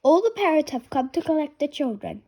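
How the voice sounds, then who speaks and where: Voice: high voice, big pitch swings, a little fast, warm tone. A person reading a children's storybook aloud, word by word. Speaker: reader of a children's picture book.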